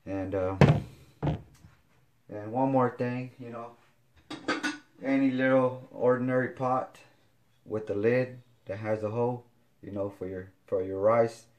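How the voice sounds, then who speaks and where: A man, showing kitchen gear in a casual home video, talking haltingly with many fillers. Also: medium pitch, steady tone, darkish tone, slight background noise. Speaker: fitness vlogger. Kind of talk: casual product demo. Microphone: camera on counter.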